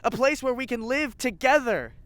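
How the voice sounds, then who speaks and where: Audio drama actor as spiteful scientist zealot: sarcastic, animated intonation, dry studio sound. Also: medium pitch, normal speed, no room sound, lightly acted. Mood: bitter, fervent. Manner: bitter, sarcastic, insistent. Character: zealous, spiteful lab scientist named Colin. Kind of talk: scripted audio drama acting.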